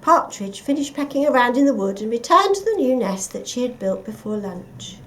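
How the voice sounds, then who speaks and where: Woman, children's storybook narration, expressive, lively varied pitch, dramatic emphasis. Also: moderate pace, rough recording. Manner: animated, dramatic emphasis for young listeners. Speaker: storyteller reading a children's picture book.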